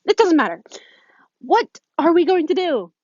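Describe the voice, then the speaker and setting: A woman's voice: extremely high pitch, very animated, normal speed, darkish tone, dry recording, voice pushed up. A woman voicing a hot-tempered, exasperated teenage girl with an emphatic manner in a story reading. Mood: outraged. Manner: emphatic, indignant. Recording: muffled phone recording.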